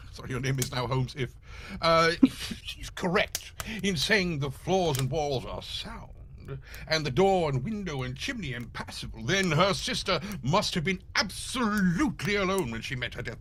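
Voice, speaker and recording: A man playing an excitable, blustering gentleman sidekick in a live old-time radio mystery, often flustered. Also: a little high, slight background noise. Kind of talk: live 1940s radio mystery acting with comic ad-libs.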